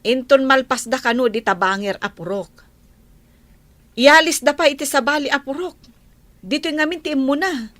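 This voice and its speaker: A woman's voice: sits high, normal speed, long pauses, no echo. A woman playing a fretful, complaining village farm woman in an Ilocano radio comedy drama. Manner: animated, complaining.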